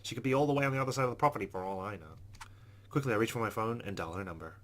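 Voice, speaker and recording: A man's voice: on the low side, very fast. A man hosting a let's play, reading visual novel narration aloud and adding asides. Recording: loud steady background noise.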